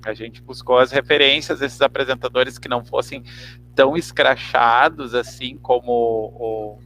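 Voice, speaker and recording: A man's voice: medium pitch, fairly even intonation, a little slow, a bit bright, rough recording. A man with a Brazilian accent, giving reflective answers as a guest actor in an interview. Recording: clear background hum and noise.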